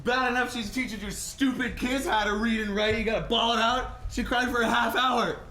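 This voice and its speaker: Man as a belligerent husband, very high sharp voice. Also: steady tone, brisk. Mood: angry. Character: belligerent, aggrieved husband in a bar. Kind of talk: 1940s radio play acting. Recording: echoey stage, background noise.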